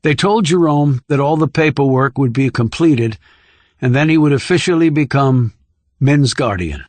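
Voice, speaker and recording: A man's voice: mid-range voice, animated, normal speed, a little mellow, no room sound. A man reading scripted thriller narration as an audiobook narrator.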